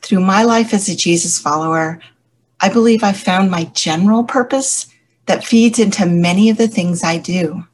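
A woman who stutters, a church elder, giving a measured, reflective personal faith testimony. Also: medium pitch, a little mellow, a little hiss or hum, rough recording.

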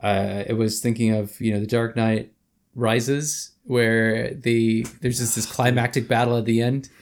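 A man's voice: mid-range voice, little pitch movement, measured pace. A man in his thirties co-hosting a podcast, talking in a thoughtful, hesitant way with many fillers. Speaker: podcast co-host. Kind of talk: casual chat.

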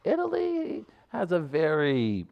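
A man co-hosting a podcast, bantering casually and breaking into loud laughter. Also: on the high side, huge pitch swings, takes it slowly, gravelly, lo-fi sound. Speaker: podcast co-host.

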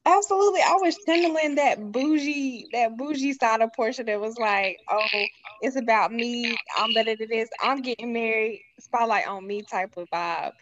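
Muffled, higher-voiced woman guest, playfully expressive. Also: moderate pace, a crowd in the background. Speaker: voice cast member, guest. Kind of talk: casual chat.

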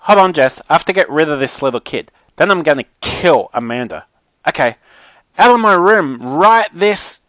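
A man as a spoiled, whiny, high-pitched teenage girl. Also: animated, moderate pace, a bit bright, no room sound. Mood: bossy, annoyed. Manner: whiny, melodramatic, bossy teen slang. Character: spoiled, dramatic, indignant teenage girl on the phone. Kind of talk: children's audiobook character.

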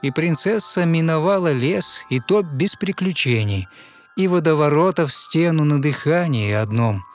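A man narrating a fairy tale in verse as a storyteller, with measured, rhythmic, dramatic delivery. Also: on the high side, lively intonation, faint music behind. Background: musical score.

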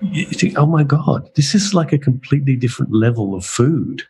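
A man's voice: mid-range voice, expressive, normal speed, darkish tone, slight background noise. A middle-aged man born in Malaysia, chatting casually and enthusiastically on a talk show. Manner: enthusiastic, laughs often. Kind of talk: casual chat.

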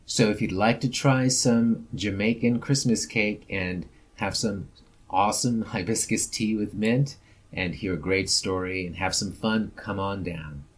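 A man's voice: lowish voice, unhurried, slightly rough. A man speaking as a guest author, explaining his work in a casual promotional talk. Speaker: featured storyteller, author.

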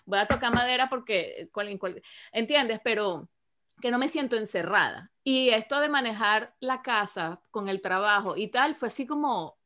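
A woman, co-hosting an online talk show, talking in a lively way, laughing often and checking in with the listener. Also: fairly high pitch, normal speed. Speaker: co-host. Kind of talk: casual chat on an online show. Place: lives in Finland.

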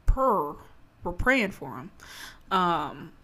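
A woman's voice: on the low side, sing-song, very slow, pushed and bright. Young woman, slight Baltimore accent, comedic rant, sarcastic, profane, emphatic. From Maryland.

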